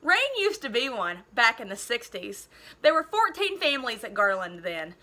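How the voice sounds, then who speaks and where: A woman's voice: fairly high pitch, very animated, moderate pace, bright, forceful tone. A woman in her thirties reading a children's novel aloud expressively, like a teacher. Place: living room at home.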